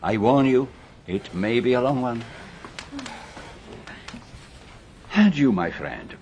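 An actor as a confident, formal Belgian detective. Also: male voice, mid-range voice, lively intonation, a little mellow, a little hiss or hum, lightly acted. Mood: brisk, authoritative. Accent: French-speaking Belgian. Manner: deliberate, formal, theatrical. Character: confident middle-aged Belgian male detective, courteous and commanding. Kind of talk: radio drama acting.

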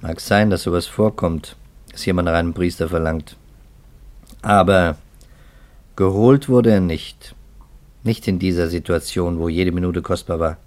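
An actor playing a skeptical, weary police investigator in a 1950s German radio drama, deliberate, guarded and matter-of-fact. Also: male voice, sits low, darkish tone. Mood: firm, pragmatic.

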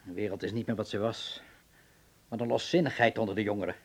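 An actor playing a prim, old-fashioned German in a radio drama, moralising but kindly, fussy and slipping into German phrases, with a husky voice. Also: male voice, on the low side, fairly even intonation, quick pace. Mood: scandalised.

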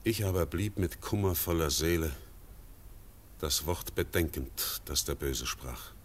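An actor playing a steadfast Swiss farmer in a classic radio drama, speaking verse in a solemn, measured way. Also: male voice, deep voice, lively intonation. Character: steadfast Swiss farmer, grave and anxious husband.

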